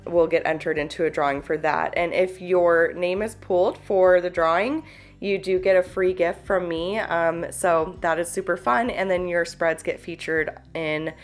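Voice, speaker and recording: A woman in a casual, rambling vlog chat, congested and a little stuffed up, with lots of fillers and small laughs. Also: lowish voice. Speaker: planner YouTuber. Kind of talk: casual chatty vlog talk.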